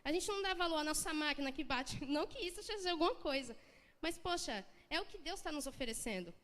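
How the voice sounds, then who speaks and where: A woman's voice: high voice, lively intonation, fast, fairly bright tone. A young Brazilian woman from the São Paulo coast with a local accent, giving an earnest, emotional personal testimony in church, talking conversationally with many restarts. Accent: Brazilian Portuguese, São Paulo coast. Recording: long church hall reverb.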